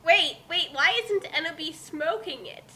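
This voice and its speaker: A woman's voice: very high voice, measured pace, pushed and bright. A woman co-hosting a story reading in casual, joking chat, with a questioning, teasing manner. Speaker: co-host of fan fiction reading.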